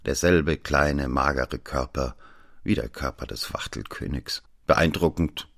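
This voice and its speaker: A man playing a stern investigating judge who narrates the story in the first person, measured and descriptive. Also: extremely low pitch, vocal fry, fairly bright tone, no echo, full character voice. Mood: tired. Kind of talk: scripted radio drama narration. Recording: studio radio play with faint music.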